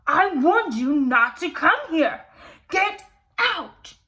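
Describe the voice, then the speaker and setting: A woman voicing a giant, angry male storybook beast, commanding and forbidding, with booming, drawn-out shouts. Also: sing-song, exaggerated voice. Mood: furious.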